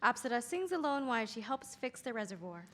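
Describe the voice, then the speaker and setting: Female narrator for stage directions, higher register, reading from script, long hall reverb. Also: big pitch swings, a little fast, fairly bright tone. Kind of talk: scripted narration at a table read.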